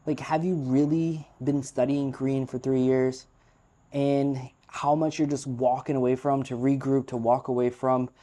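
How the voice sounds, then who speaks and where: Male vlogger, native English speaker, mid pitch, dark mellow timbre, candid rambling delivery. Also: flat delivery, a little slow. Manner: frank, opinionated, rambling with fillers. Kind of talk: unscripted casual monologue.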